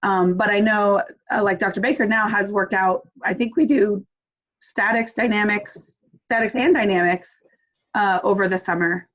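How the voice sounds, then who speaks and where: A woman's voice: medium pitch, fairly even intonation, normal speed, a bit bright. A woman academic advisor answering questions in a casual chatty way on a video call. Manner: chatty, many fillers. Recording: dull lo-fi video call audio.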